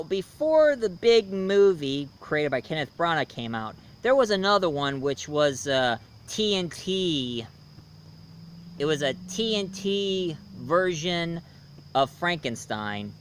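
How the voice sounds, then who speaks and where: A man with a slightly high voice reviews movies casually, rambling along with playful emphasis. The recording has a faint layer of background noise. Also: fairly bright tone. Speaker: video reviewer, voice impressionist.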